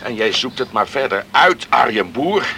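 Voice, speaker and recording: An actor playing a proud, unforgiving, aggrieved islander in a Dutch radio play, speaking with bitter emphasis. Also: male voice, medium pitch, sing-song, moderate pace, creaky, bright, forceful tone, full character voice. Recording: old radio recording with faint noise.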